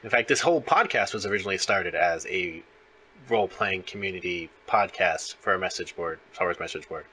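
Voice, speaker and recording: A man's voice: deep voice, a little fast, sharp tone, a little hiss or hum, poor audio. A man hosting a podcast interview, chatting casually in a friendly, enthusiastic, somewhat rambling way.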